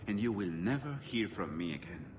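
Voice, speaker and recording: Theatrical, deep-voiced male crime boss. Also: very animated, normal speed, noisy background. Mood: curt, bargaining. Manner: mocking, courtly, theatrical. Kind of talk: video game voice acting.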